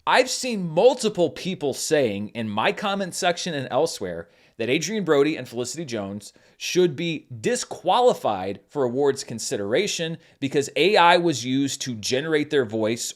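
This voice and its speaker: Animated male film critic, lively pitch. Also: normal speed, hardly any pauses. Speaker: film critic and channel host. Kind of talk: scripted commentary.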